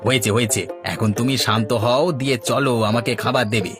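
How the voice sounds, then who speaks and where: A henpecked village husband from a cartoon, acted by a man, in a mid-pitched voice. He grumbles drily and placates in a put-upon way. Music sits behind the voice. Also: steady tone, normal speed, natural voice. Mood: exasperated, resigned. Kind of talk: Bangla cartoon voice acting.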